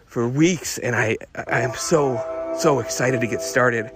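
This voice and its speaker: Casting: male hobbyist host recording a private diary, wistful and halting, middle-pitched, faint music behind. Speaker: hot rod hobbyist and host. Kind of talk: personal audio diary, musing to himself.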